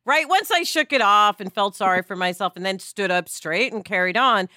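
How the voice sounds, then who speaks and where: A woman's voice: mid-range voice, expressive, normal speed, bright, forceful tone, dry recording, studio quality. A middle-aged woman hosting a podcast discussion, talking emphatically and often checking in with rhetorical questions. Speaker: podcast host.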